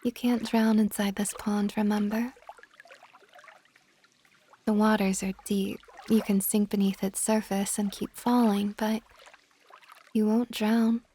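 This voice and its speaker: A woman voicing an ancient, possessive but tender water dragon goddess in a soft, intimate ASMR romance roleplay, often slipping toward a whisper. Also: medium pitch, flat delivery, slow pace, long pauses, slight background noise, lightly acted. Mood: teasing, soothing.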